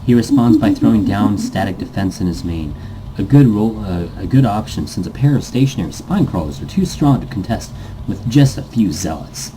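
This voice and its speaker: A man narrates a visual novel in a low, very dark and soft-edged voice. His pitch moves in a lively, dramatic way, and he sometimes stumbles and rereads. Faint noise sits behind him. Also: normal speed, rough recording. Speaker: reader, host of a let's read series.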